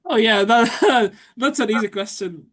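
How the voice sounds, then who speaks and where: A man's voice: very high voice, a little slow. A young man from Egypt, an Arabic speaker, talking eagerly and at length as a student guest on a video call. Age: young adult. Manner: chatty, enthusiastic, repeats words when excited. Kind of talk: casual interview chat.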